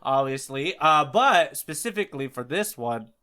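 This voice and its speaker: A man's voice: a little high, lively intonation, moderate pace, bright, forceful tone, smooth. A man co-hosting a podcast in a casual, conversational style. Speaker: podcast co-host.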